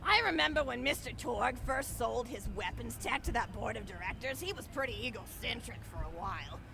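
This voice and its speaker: Sweet, animated old granny. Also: female voice, on the high side, brisk, creaky. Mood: chatty, earnest. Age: older. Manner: rambling, gushing, folksy. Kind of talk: animated video game storytelling. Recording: game music behind the voice. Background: background music.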